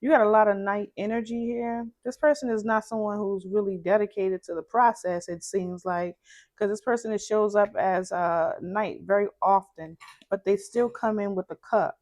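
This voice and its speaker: A woman with a low voice, doing a casual tarot reading in a soft, musing way and repeating phrases as she thinks. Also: steady tone, moderate pace. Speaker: tarot card reader.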